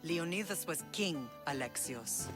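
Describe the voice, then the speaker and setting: An actress playing a resolute Spartan mother in a video game, with a solemn, measured way of speaking. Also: deep voice, lively intonation. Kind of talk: dramatic video game acting. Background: prominent music.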